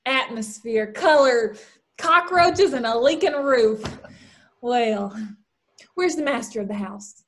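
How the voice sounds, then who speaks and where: A woman's voice: fairly high pitch, expressive, slow pace, a little hiss or hum. A woman playing a brash, good-natured young vaudeville showgirl with a theatrical, wisecracking manner in a play reading. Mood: sardonic. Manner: theatrical, sarcastic, mock-posh asides. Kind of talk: play table read.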